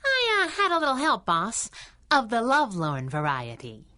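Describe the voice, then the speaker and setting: A high, wisecracking heroine. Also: female voice, big pitch swings, moderate pace, bright, forceful tone. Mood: wry, evasive. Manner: flippant, breezy. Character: wisecracking, plucky female superhero sidekick. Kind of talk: 1930s radio serial acting.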